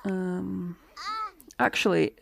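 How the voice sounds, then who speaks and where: A woman, chatting through a live-streamed game, a little hoarse and talking to her characters. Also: lowish voice, level tone, very slow, noisy background. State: slightly hoarse, losing her voice. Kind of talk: live-stream gameplay commentary.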